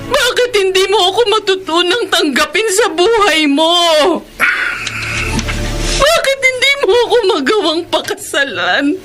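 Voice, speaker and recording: A woman's voice: extremely high pitch, big pitch swings, unhurried, exaggerated voice. An actress playing a lovesick young woman begging her lover to marry her, tearful and pleading, in a classic radio drama. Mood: anguished. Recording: music bed under voice.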